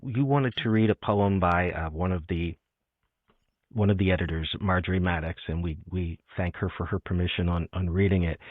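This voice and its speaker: A man's voice: low-pitched, dry recording. A man hosting a poetry show, chatting about literature in an enthusiastic, appreciative way. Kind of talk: conversational literary interview. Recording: muffled phone-like audio.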